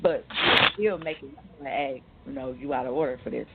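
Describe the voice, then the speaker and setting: A woman's voice: a bass voice, fairly quick, very noisy. A woman co-hosting a casual discussion, speaking in an assertive, outspoken and slangy way. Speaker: radio show co-host. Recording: tinny, band-limited phone-line sound.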